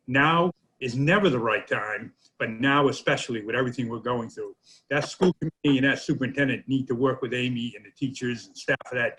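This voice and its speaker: A man's voice: mid-range voice, a bit bright, low-quality recording. A man co-hosting a union talk show, speaking earnestly and indignantly with a few fillers. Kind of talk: casual talk show commentary.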